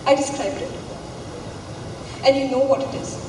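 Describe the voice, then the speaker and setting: Stage play: actress as defiant, hurting college girl, high-pitched, far from the mic in a ringing hall. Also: moderate pace, background noise, natural voice. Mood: anguished. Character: wounded, defiant young female college student. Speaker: stage actor. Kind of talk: student stage drama acting. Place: theatre stage, recorded from afar. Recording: echoey hall, far mic, background music.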